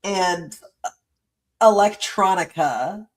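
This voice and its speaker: A woman in her forties hosting a late-night talk show, swearing freely, laughing often and acting out quotes in animated chat. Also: fairly low pitch, very slow. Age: 40s. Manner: animated storyteller, acts out quotes. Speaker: host. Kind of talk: casual chat.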